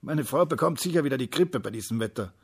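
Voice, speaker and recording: A man's voice: medium pitch, expressive, fast, gravelly, husky, voice pushed up. A man voicing a grumbling adult office worker in a storybook, complaining sourly. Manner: grumbling, sour.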